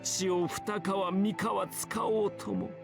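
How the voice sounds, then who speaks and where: A man playing a solemn student president who tells a ghost story like an old storyteller, in a measured cadence with a voice that gives out and shifts tone, in visual novel style. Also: sits high, steady tone, creaky. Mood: grave, eerie. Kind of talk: dramatic Japanese visual novel acting. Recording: game audio over background music.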